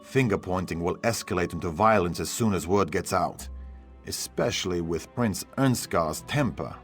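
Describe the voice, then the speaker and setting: A man acting as a shrewd noble counselor in a fantasy game. His voice is low and grave, with deliberate, formal phrasing over soft music. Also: natural voice. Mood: grave, urgent. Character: shrewd, grave lordly male advisor to a jarl. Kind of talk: video game dialogue acting.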